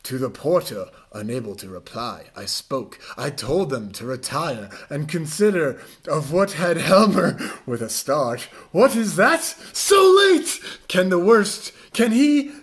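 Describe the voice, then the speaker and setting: A man giving a mock-dramatic reading, with overwrought theatrical delivery that swings between hushed and loud. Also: fairly high pitch, very animated, unhurried, hardly any pauses.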